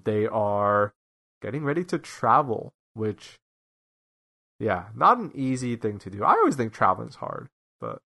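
Male podcast host, nasal, mid-pitched, loose self-mocking ramble, lots of restarts. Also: fairly bright tone. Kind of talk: casual solo podcast chat.

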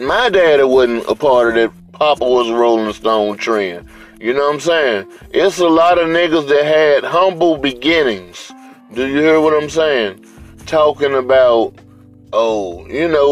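A man with a Southern US accent hosting a podcast in a casual, unscripted monologue, blunt, slangy and swearing heavily. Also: mid-range voice. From US South, Atlanta area. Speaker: podcast host.

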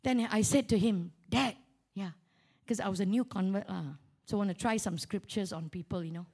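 A woman with a Malaysian accent preaching through a humorous, animated personal story, colloquial and full of local particles, acting out dialogue as she goes. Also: mid-range voice, fairly quick, slightly rough. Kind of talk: storytelling sermon with acted-out dialogue.

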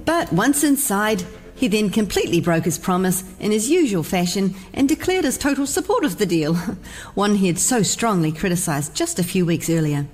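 Woman, mid pitch, earnest scripted commentary, faint music behind. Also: very animated, normal speed. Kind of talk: scripted political commentary read aloud.

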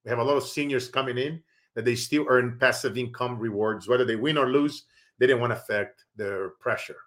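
A middle-aged man from Brazil with a Brazilian Portuguese accent, hosting a podcast and giving a persuasive, preachy pitch with many fillers. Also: fairly quick, fairly bright tone. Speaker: podcast host. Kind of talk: motivational podcast monologue with sponsor plugs.

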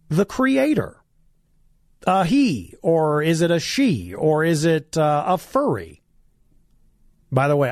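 A man on talk radio, hoarse and clearing his throat, his fairly high voice rising and falling widely as he rants with biting sarcasm. The sound is close and dry, with no room around it. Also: dry recording. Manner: combative, sarcastic ranting. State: hoarse, coughing. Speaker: radio talk show host.

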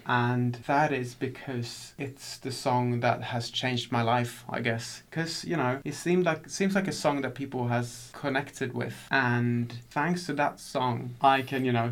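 Young Swedish male musician, relaxed interviewee, filler-heavy and giggly. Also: mid-range voice. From Sweden. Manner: soft-spoken, laughs often, many fillers. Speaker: musician guest. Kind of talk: casual interview chat.

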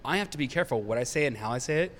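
Bright, forceful man chatting casually. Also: mid-range voice, expressive, racing. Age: 30s. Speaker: psychic medium. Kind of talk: casual chat, storytelling.

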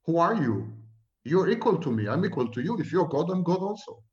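A man's voice: fairly high pitch, very animated, a little fast. Emphatic lecturing man, roomy dull sound. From Israel. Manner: emphatic, repetitive, sweeping declarations. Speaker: psychology professor guest. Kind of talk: lecturing interview answers.

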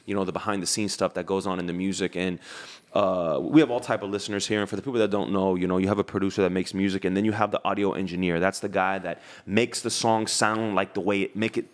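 A man hosting a podcast, interviewing in a casual, slangy way with halting, repeated phrases. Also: low-pitched, steady tone. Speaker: podcast host.

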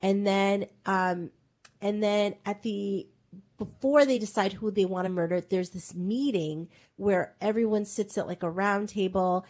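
A woman in relaxed podcast conversation, mid-pitched. She rambles through a story with constant fillers and restarts. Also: unhurried. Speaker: podcast co-host. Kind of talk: casual pop-culture chat.

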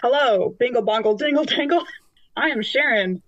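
A woman's voice: on the high side, animated, normal speed, dry recording, lo-fi sound. A woman chatting casually as a player on a tabletop roleplaying podcast. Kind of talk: casual chat.

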